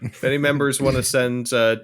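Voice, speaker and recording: A man's voice: mid-range voice, steady tone, brisk, fairly bright tone. A man chatting casually as a co-host in a podcast discussion.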